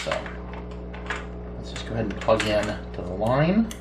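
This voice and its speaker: A man's voice: fairly low pitch, big pitch swings, a little slow. Middle-aged man in a roomy, noisy workshop. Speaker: electronics repair hobbyist, host. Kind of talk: casual hands-on demonstration, thinking aloud. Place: small indoor home workshop at a bench. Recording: roomy, distant mic with background noise.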